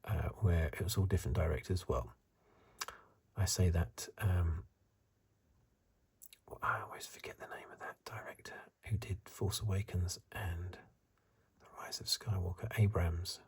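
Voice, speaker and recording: A man giving soft-spoken, half-whispered ASMR commentary in a husky, breathy voice, musing and rambling as he goes. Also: sits low, a little slow. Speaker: ASMR reviewer.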